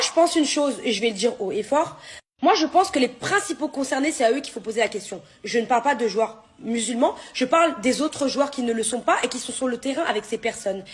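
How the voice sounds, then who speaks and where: Woman, higher voice, social media opinion commentary, assertive, rhetorical delivery. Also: expressive, a little fast.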